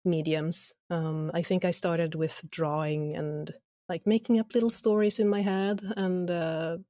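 A woman's voice: fairly low pitch, steady tone, a little slow, dark tone, no room sound, studio quality. A woman chatting casually and informally as an interview guest. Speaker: guest, audio drama writer and voice actor.

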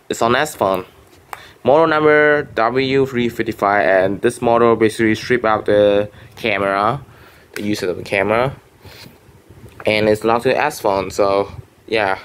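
A young man whose first language is Vietnamese, casually rambling through a show-and-tell with many restarts and fillers. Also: fairly low pitch. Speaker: phone collector vlogger. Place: bedroom at home.

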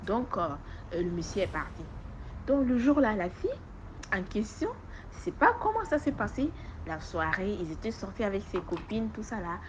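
A woman from Togo with a West African accent, speaking French as an additional language and giving an informal testimony in an animated storytelling way. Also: mid-range voice, normal speed, dull audio, background noise. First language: not French. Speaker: testimony giver. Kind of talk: informal spoken personal testimony.

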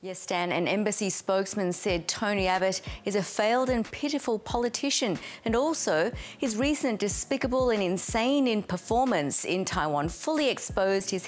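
A woman presenter reading a scripted news report in a measured, formal way. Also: mid-range voice.

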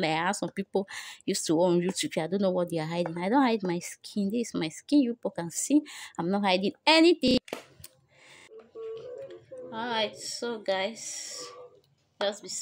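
A young woman making a home beauty vlog, chatting to viewers as she works, recorded on a phone with no microphone. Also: medium pitch. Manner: chatty, repeats herself, addresses viewers as guys. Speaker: beauty vlogger. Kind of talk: casual how-to vlog. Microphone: phone camera, no microphone.

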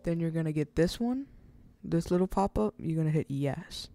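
A casual, rambling speaker hosting an informal step-by-step software tutorial, recorded close to the mic as a voice-over. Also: mid-range voice, expressive, normal speed, vocal fry, faint music behind. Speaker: tutorial host.

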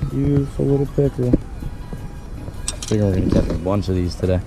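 A man's voice: fairly low pitch, fairly quick, creaky, over loud music, rough recording. A young man on a fishing boat, chatting casually and calling out each fish he catches, recorded on a head-mounted action camera outdoors. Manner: upbeat, excited play-by-play of catches. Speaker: fishing vlogger. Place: small boat on a lake, outdoors. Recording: outdoor wind and water noise.

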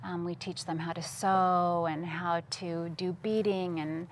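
A woman's voice: fairly low pitch, steady tone, unhurried, slight background noise. A woman in her thirties from Costa Rica, talking conversationally and earnestly as a studio interview guest. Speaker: interview guest, missions worker. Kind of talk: conversational testimony. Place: TV studio, seated at a table.

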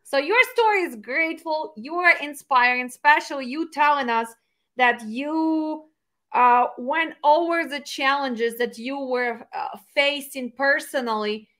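High, bright-voiced woman hosting. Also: unhurried. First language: not English. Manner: lively, emphatic, animated hand gestures. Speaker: host and dispatch trainer. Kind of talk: conversational interview. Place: home room indoors. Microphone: video call webcam.

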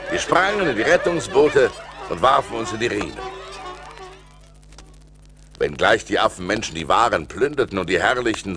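A man's voice: mid-range voice, fairly bright tone. An actor playing an adventurous seafarer recounting his voyages in a theatrical, precise German audio-play style, on a vintage vinyl record. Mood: urgent. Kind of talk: dramatic scripted storytelling, audio play.

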